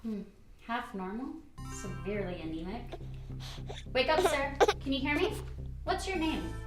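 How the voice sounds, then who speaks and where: A woman's voice: a little high, measured pace, natural voice. A woman playing a concerned, attentive female doctor in a radio play, with an earnest delivery. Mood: attentive, urging. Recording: echoey room. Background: music.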